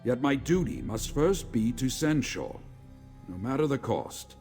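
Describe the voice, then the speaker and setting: An actor playing a weary, dutiful middle-aged legion commander in a video game, speaking in a measured, formal, authoritative way. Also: male voice, medium pitch, very animated, loud music behind the voice. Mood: dutiful, regretful. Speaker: game character, military commander. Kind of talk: scripted video game dialogue.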